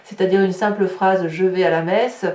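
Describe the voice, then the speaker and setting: A woman's voice: lowish voice, steady tone, moderate pace, a little mellow. Woman doing a tarot reading off the cuff, keeps rephrasing, bit far from the mic in a really echoey room. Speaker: tarot reader. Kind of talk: improvised spoken tarot reading addressed to viewers. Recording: roomy echo, distant microphone.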